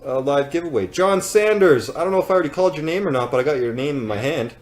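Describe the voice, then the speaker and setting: A man's voice: fairly high pitch, expressive, fast talker. Joking Canadian man on a livestream. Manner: joking, rambling storyteller. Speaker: business owner hosting a live giveaway. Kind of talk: casual livestream chat.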